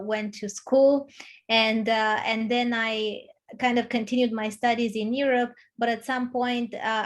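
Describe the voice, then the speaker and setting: A woman with a Romanian accent, speaking as a guest over a video call. Her voice sits a little high, and she fills her sentences with pauses and restarts as she gathers her thoughts. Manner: hesitant, many fillers and restarts. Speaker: guest, executive coach. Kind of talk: online interview.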